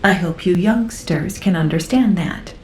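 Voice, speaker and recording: A woman voicing a serene, ageless female artisan in a video game, fond and teasing toward youngsters, with an unhurried, indulgent, amused manner. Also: faint music behind, close to an everyday voice. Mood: calm, firm. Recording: roomy echo with rumbling noise.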